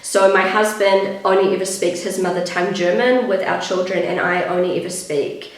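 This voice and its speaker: A woman in her thirties with a New Zealand accent, chatting casually in a vlog, her voice croaky with vocal fry and a little out of breath. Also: mid-range voice, steady tone, moderate pace. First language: English. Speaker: vlogger. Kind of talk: casual vlog talk to camera. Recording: very echoey room.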